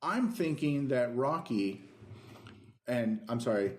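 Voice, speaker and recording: A man co-hosting a podcast in casual conversation, earnest and halting, often correcting himself as he explains. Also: mid-range voice, animated. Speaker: podcast co-host. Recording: echoey room.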